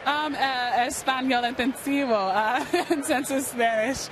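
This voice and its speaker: A woman's voice: sits high, measured pace. An American woman in her early twenties from New York, speaking earnestly and conversationally as an athlete being interviewed. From New York, United States. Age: early 20s. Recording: clear outdoor stadium noise behind. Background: outdoor ballpark ambience.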